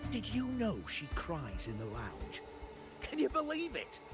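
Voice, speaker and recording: A scornful, money-minded schemer in a video game, with a high voice for a man. He sneers and protests theatrically, his pitch leaping about, over clear background music. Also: wildly swooping pitch, measured pace, heavily acted.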